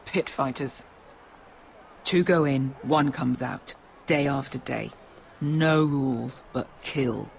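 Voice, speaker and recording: Casting: battle-worn, cynical mercenary guide for a game, creaky blunt voice over noisy outdoor ambience. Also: male voice, mid-range voice, takes it slowly. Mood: grim warning. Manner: blunt, grim warnings. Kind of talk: video game acting. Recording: clear outdoor background noise.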